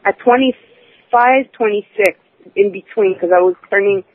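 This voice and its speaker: A woman in her twenties calling in to a talk show. She tells her story haltingly, restarting and correcting herself often. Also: medium pitch. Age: 20s. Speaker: caller. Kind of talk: personal account on a call-in show. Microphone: phone. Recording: tinny phone line.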